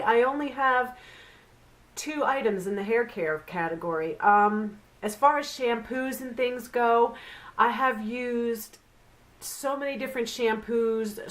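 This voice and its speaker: A middle-aged woman hosts a casual beauty review vlog, and she sounds nasal and congested from a flu. She talks in a chatty, rambling way, with lots of fillers along the way. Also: mid-range voice. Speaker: beauty vlogger.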